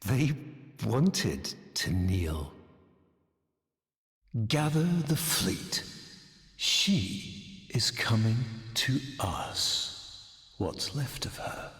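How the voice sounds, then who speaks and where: An actor playing a grandiose, bitter, scheming tyrant in a video game, theatrical and pompous, often dropping toward whispers. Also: male voice, lowish voice, very animated, extremely slow, long pauses, fairly bright tone, heavily acted. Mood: contemptuous menace. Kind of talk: scripted video game voice acting. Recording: long hall-like reverb.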